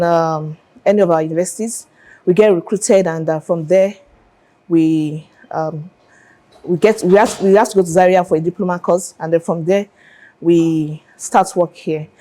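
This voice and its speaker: A Nigerian-accented woman, an air traffic controller, giving a halting, hesitant interview answer. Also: fairly low pitch, warm tone.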